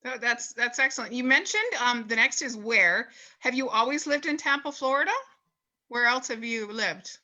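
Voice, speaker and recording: Woman interviewer, bright voice, slightly high, chatty. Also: normal speed. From lives in British Columbia, Canada. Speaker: host. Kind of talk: casual video-call interview.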